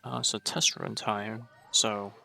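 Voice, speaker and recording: Bright-voiced man casually streaming a game. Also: on the low side, level tone, slow pace, creaky. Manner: rambling, thinking aloud. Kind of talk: casual live gameplay commentary. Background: faint game music.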